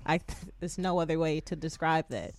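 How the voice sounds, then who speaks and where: Encouraging woman co-host, lowish voice. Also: expressive, normal speed, soft background music. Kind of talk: casual interview chat.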